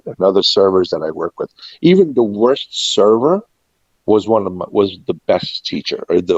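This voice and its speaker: A man's voice: on the low side, vocal fry, a little mellow, slightly rough. A middle-aged man telling personal stories in a casual interview, reflective and anecdotal, often quoting past conversations. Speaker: restaurant and catering veteran being interviewed. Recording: muffled, echoey room recording.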